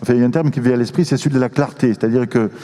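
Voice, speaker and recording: A man moderating a panel discussion with measured, formal and courteous phrasing. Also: medium pitch, steady tone, dark tone. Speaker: forum moderator. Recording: reverberant hall sound.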